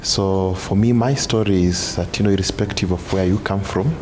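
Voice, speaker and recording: A man's voice: low voice, fairly even intonation, moderate pace, a little mellow, slight background noise. A man, apparently in his thirties, speaking about himself in a profile interview. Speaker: interviewee, banker.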